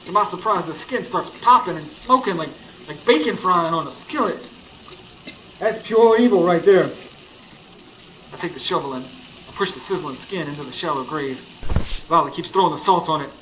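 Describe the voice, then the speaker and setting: An actor playing an anxious, determined husband who narrates a ghost hunt in a folksy, urgent way in a live radio drama. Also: male voice, a little high, animated, moderate pace, long pauses, close to an everyday voice. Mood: tense, determined. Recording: live stage recording, clear background noise.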